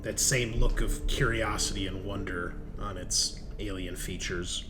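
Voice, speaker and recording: Slow-paced man narrating a tabletop game. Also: on the low side, fairly even intonation, over music. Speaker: game master. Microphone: online group call. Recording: clear background noise.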